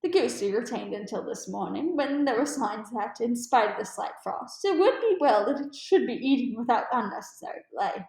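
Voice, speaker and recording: A woman's voice: fairly high pitch, expressive, a little fast, few pauses, darkish tone, reverberant. A woman voicing a keen, amused male detective with precise, formal and emphatic speech in a storybook read-aloud. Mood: keen, instructive.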